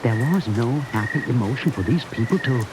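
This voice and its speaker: A man acting as a haunted, suspicious ship's doctor in a vintage radio play. His pitch moves dramatically through each phrase, and the old recording is very noisy, with rain behind. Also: lively intonation, a little fast, lightly acted. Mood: brooding, suspicious. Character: haunted, suspicious male doctor on a space crew.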